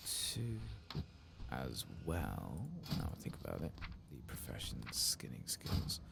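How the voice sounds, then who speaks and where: A soft-spoken streamer giving murmured, half-whispered ASMR commentary on a game, close to the microphone. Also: a bass voice, wildly swooping pitch, takes it slowly, creaky, very noisy. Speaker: gameplay streamer.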